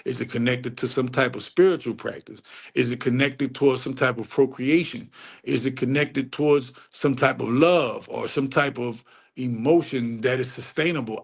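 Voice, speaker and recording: A middle-aged man on a casual livestream rant, preachy and profane, repeating phrases for emphasis and climbing sharply in pitch when he gets heated. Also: creaky, slightly rough. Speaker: livestream host answering chat.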